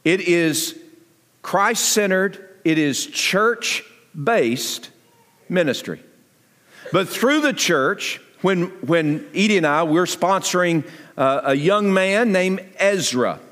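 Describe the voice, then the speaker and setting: A male pastor addressing his congregation in deliberate, emphatic phrases with pauses, his voice echoing in a large hall. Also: high-pitched, expressive, fairly bright tone. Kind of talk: sermon appeal and guest interview before a congregation. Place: church sanctuary, amplified to a congregation. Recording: echoey large hall reverb.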